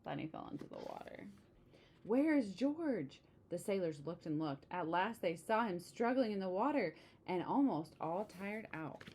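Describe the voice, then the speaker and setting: A woman with a slightly low voice reads a children's storybook aloud. Her delivery is expressive and exclaiming, broken up by casual asides. There is some noise in the recording behind her. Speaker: storybook reader.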